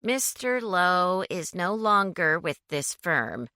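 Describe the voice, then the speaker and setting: A woman's voice: fairly low pitch, very animated, slow, bright, forceful tone, no echo, clean studio sound, full character voice. Woman doing a weary nasal receptionist. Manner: weary, reluctant. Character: tired nasal female receptionist.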